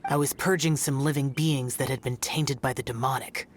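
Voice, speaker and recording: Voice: mid-range voice, steady tone, normal speed, slight background noise, natural voice. An actor playing a stoic, world-weary young male immortal demon slayer in a video game, speaking in a solemn, formal, detached way. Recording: music behind the voice. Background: game music.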